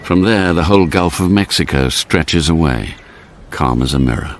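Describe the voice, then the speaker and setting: Suave male secret agent, radio drama narration, deep register, slight background noise. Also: expressive, normal speed. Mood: measured suspense. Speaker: narrator and lead actor in a radio spy drama.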